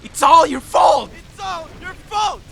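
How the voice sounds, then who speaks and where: Demon ghost screaming blame, super shrill n drawn out, horror audio drama, noisy background. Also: slow pace, raised voice, exaggerated voice. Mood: furious, accusing. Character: accusing demonic nightmare apparitions, screaming blame.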